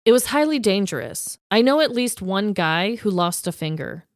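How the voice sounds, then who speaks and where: A woman co-hosting a podcast, telling stories from her notes in an informal, animated, emphatic way. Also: medium pitch, dry recording, studio quality. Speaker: podcast co-host. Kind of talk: chatty storytelling, reading quotes from notes.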